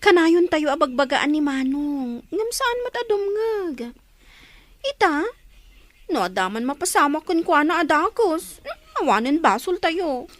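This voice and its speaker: Radio drama daughter: high-pitched, very expressive, dutiful but exasperated and pleading. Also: female voice, measured pace, no echo. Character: dutiful unmarried daughter, exasperated by her wayward brother.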